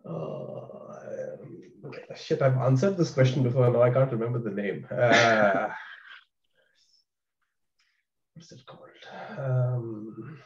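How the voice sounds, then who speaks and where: A man's voice: mid-range voice, fairly even intonation, slow, pauses often. A man competing in a quiz, thinking aloud casually and hesitantly, often repeating words. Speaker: quiz contestant. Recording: echoey room, dull muffled audio.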